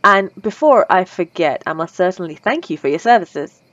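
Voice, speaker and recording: A courteous but lovestruck female vampire, played by a woman pleads in formal, old-fashioned words, stumbling over nervous stammers. Her voice sits a little low, and the ASMR roleplay recording is close, dry and slightly muffled. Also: expressive, normal speed, no room sound, lightly acted. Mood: earnest.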